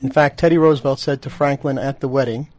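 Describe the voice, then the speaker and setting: A man hosting a radio talk show, jokey and quick with banter. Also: mid-range voice, steady tone, a little mellow. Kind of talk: radio interview. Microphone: studio microphone.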